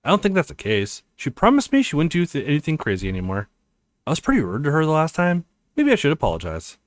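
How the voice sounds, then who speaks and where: Animated man reading a story game aloud. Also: on the high side, quick pace, dry recording. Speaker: let's play host reading a visual novel aloud. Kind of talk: dramatic reading of game dialogue and narration.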